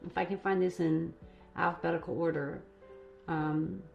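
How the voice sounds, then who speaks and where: A woman hosting a live stream, chatting casually and rambling a little while her voice is going hoarse. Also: fairly low pitch, fairly even intonation, moderate pace, lo-fi sound, soft background music. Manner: casual, rambling, laughs at herself. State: losing her voice. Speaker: host.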